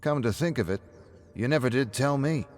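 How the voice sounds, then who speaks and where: A man voicing a wry bartender who gently chides an old friend in an audio story. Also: medium pitch, moderate pace, a little hiss or hum, pitched above the performer's own voice, lightly acted. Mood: probing, sarcastic.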